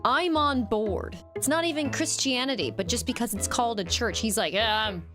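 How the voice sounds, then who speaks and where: Woman, higher voice, scornful, explanatory, voice-over commentary. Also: moderate pace, music in the background.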